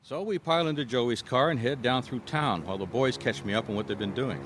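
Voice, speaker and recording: A man's voice: mid-range voice, a little fast, a bit bright, slight background noise, lightly acted. An actor playing an easygoing American private eye, telling his story in a casual, wry radio drama style. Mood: relaxed storytelling. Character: easygoing male private eye recounting an old case. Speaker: lead actor and narrator. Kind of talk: conversational radio drama narration.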